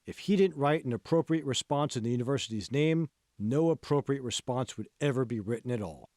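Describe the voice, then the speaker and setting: A man's voice: mid-range voice, lively intonation, brisk, a little mellow, dry recording, clean studio sound. A man reading a novel aloud as scripted audiobook narration. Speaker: author reading own audiobook.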